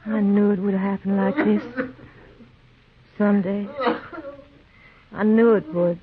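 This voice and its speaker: A woman's voice: medium pitch, fairly even intonation, takes it slowly, close to an everyday voice. Sorrowful young frontier woman, acted on thin radio. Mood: resigned, sorrowful. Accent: American. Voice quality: rustic, folksy speech. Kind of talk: 1950s radio drama acting. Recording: thin, phone-like vintage radio broadcast.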